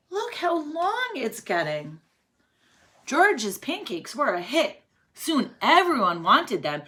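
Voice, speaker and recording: A woman's voice: on the high side, a bit bright. A woman reading a story aloud to young children, expressively, often prompting them with questions and counting along. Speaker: teacher reading a picture book aloud to children.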